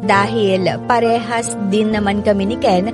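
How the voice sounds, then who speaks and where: An earnest young wife and mother acted by a woman tells her own story for a radio drama. She speaks in a reflective, measured, sentimental way at a middle pitch, with music clearly playing beneath her. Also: steady tone, lightly acted. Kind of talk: scripted first-person radio drama narration. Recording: music bed under voice.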